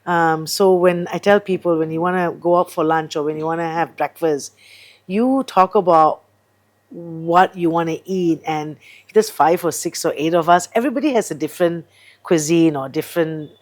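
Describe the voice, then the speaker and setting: Low-voiced chatty middle-aged woman chef. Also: normal speed. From Singapore. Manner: chatty, animated, many fillers. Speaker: chef and entrepreneur guest. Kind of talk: casual interview chat.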